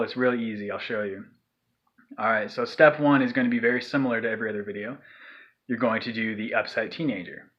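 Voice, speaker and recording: A man's voice: medium pitch, dull audio. A man explaining a vocal technique in a loose, rambling way, with lots of fillers and sighed demonstrations. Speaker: vocal tutorial maker. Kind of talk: casual spoken tutorial.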